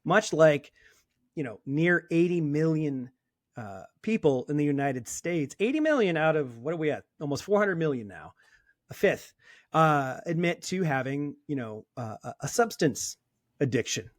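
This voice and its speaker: A man's voice: a little high, lively intonation, normal speed, no room sound, studio quality. A man in his fifties, a sober coach speaking as a guest in a casual interview, talking bluntly and emphatically. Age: 50s. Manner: emphatic and blunt, with occasional swearing.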